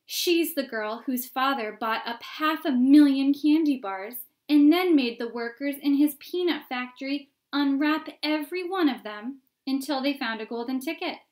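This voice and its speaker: A woman voicing excited, gossipy storybook onlookers shouting in a crowd, with emphatic exclaiming. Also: high-pitched, moderate pace, few pauses, voice pushed up. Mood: loud, scandalized. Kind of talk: storybook character voices.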